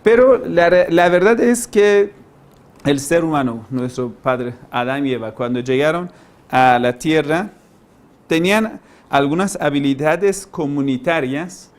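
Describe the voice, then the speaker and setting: Higher-pitched man lecturing with a foreign accent. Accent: foreign, non-native Spanish. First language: not Spanish. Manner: didactic, halting, with rhetorical questions and emphatic key words. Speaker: language-learning teacher, lecturer. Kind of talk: informal lecture.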